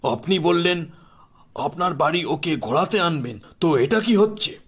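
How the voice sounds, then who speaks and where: A man voices a wealthy, domineering father in an audio horror drama. His voice is high for a man and husky, full of indignation and threat as he demands answers. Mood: furious, threatening. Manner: indignant, demanding, rapid questioning. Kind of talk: audio horror story acting.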